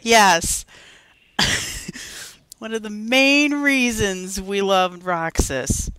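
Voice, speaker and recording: A young woman with a smooth, clear voice chats on a gaming podcast, animated and quick to giggle. She is recorded close and dry. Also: mid-range voice, no echo. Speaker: podcast co-host. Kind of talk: casual chat about video games.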